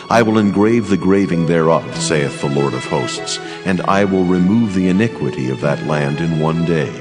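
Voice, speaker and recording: A man playing a solemn, commanding angel who declaims God's words deliberately in a dramatized scripture reading. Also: deep voice. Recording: long reverb, clear music underneath. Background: music.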